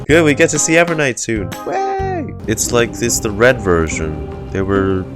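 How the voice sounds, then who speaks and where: A man's voice: medium pitch, unhurried. A man doing casual, slangy, profane live reaction commentary as a video game streamer. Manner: slangy, expressive. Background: game music.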